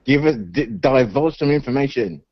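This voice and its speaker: A man chatting on a video call, teasing and quick with his remarks. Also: mid-range voice, expressive, lo-fi sound, slight background noise. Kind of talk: casual chat on internet radio.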